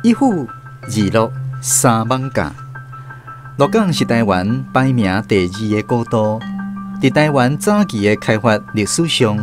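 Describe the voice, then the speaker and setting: A man's voice: medium pitch, big pitch swings, unhurried, music in the background. A man with a Taiwanese accent narrating a scripted radio drama as its host.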